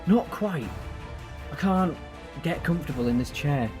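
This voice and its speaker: A man's voice: on the high side, animated, unhurried, over music. A man playing a quirky, compassionate, talkative time-travelling hero in an audio drama, earnest and wry. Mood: fussy, stalling.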